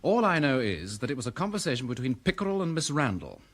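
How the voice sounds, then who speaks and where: An eager chatty pal, husky, voice bounces around, old radio play. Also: male voice, medium pitch, big pitch swings, fast, fairly bright tone. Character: cheerful, eager male friend of the detective. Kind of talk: vintage radio drama acting.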